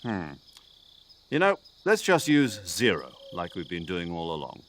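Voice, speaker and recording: A man voicing an older military commander in a video game, precise and drily good-humoured, articulate and measured as he briefs an agent. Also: sits low, huge pitch swings, slight background noise, heavily acted. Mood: wry, chatty. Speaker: mission commander giving a radio briefing.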